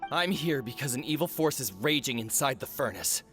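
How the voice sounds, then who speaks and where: An actor playing an earnest, grave forge overseer in a video game, solemn, accusing and deliberate as he confronts a traitor. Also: mid-range voice, lively intonation, a bit bright, close to an everyday voice. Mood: stern, urgent. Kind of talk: dramatic video game voice acting. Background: background music.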